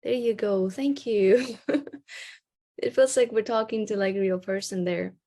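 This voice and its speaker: A woman's voice: mid-range voice, unhurried, mellow. A young woman from Nepal, a graduate student talking casually and hesitantly in an online session, with many ums.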